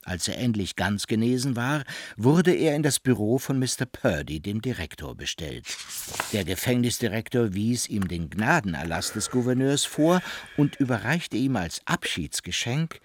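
An actor as the storyteller of a German radio play, giving mock-solemn scripted narration of a hero's misadventures. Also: male voice, medium pitch, animated, normal speed, breathy edge.

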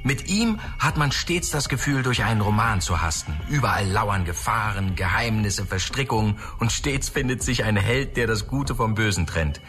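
A man's voice: lowish voice, lively intonation, moderate pace, hardly any pauses, close to an everyday voice. An actor playing a sardonic, exasperated man who narrates a radio drama in dry, clipped phrases. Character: sardonic, exasperated man recounting his holiday. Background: music.

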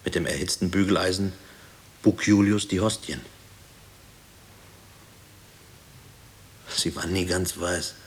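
Low-voiced, grave former prisoner. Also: male voice. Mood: grave reminiscence. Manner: measured, reflective storytelling. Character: grave former prisoner haunted by wartime memories. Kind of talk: German radio play acting. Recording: hissy old radio drama tape.

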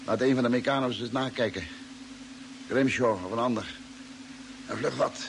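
A male actor voices a groggy, confused astronaut in an old radio play. His mid-pitched voice is full of creak, and he grumbles in a halting, querulous way. The recording carries some noise. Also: lightly acted. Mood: puzzled, irritable. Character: confused, irritable male astronaut waking up weakened. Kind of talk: Dutch radio drama acting.

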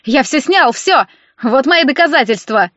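Woman playing a spiteful drunk man, high squealing voice, hysterical whining rants, audiobook drama, slightly dull audio. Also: animated, unhurried, a bit bright, no echo. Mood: hysterical, accusing. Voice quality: shrill, squealing. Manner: ranting, accusing in outbursts. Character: shrill, hysterical drunk ex-husband, spiteful and whiny.

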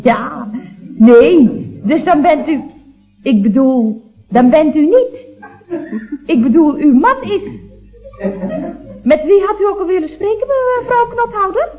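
Lively, gossipy switchboard telephonist. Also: sits high, a little slow, pauses often. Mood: flustered, mock-innocent. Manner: gossipy, mock-innocent. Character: chatty, sly, catty office switchboard telephonist. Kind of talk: 1970s radio comedy acting. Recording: muffled, phone-like old radio recording.

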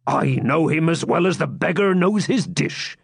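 A man voicing a boisterous, blustering friar in an audiobook, with booming, drawn-out archaic speech. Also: very animated, vocal fry, breathy edge, dry recording, studio quality, heavily acted. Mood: bold bluffing. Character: boisterous, blustering hermit friar, pious fraud and brawler. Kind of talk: audiobook character voice.

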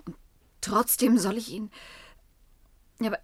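An actress playing a timid, hesitant new attendant in a German radio play, questioning and often hushed. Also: female voice, mid-range voice, unhurried, lightly acted. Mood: anxious, questioning. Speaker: newly hired attendant.

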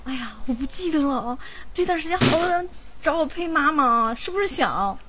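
Animated older woman in casual chat. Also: sits high, moderate pace, background noise. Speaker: amateur voice actress, guest.

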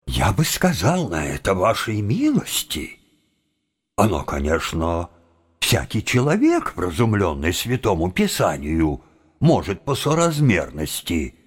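An actor playing a bewildered young seminary philosopher in an audio drama, wheedling and evasive in folksy, roundabout speech. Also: male voice, low voice, huge pitch swings, a little slow, breathy edge, heavily acted. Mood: evasive pleading.

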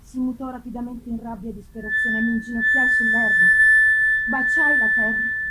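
A woman's voice: high voice, voice pushed up, lightly acted. A woman reading a gothic novel theatrically as an anguished man bent on revenge, in a solemn manner, on a noisy live recording. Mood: vengeful, declamatory. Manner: solemn, theatrical, swinging from hushed to declamatory. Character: anguished male avenger narrating his tragic hunt.

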